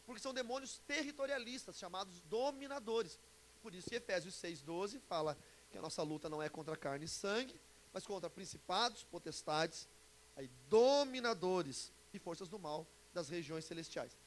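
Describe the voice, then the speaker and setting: A man's voice: high voice, expressive, moderate pace, poor audio. A Brazilian man in his forties preaching and teaching informally to a congregation in a chatty, joking way, often putting questions to his listeners. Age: 40s. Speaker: pastor and conference speaker. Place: church conference before an audience. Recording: live talk with background hiss.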